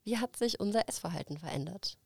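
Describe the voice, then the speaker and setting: A woman's voice: on the low side, animated, fast talker, gravelly, slightly rough, no echo, studio quality. A woman co-hosting a podcast, cheerful and leading the chat, laughing often. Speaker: podcast co-host. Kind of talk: casual chat.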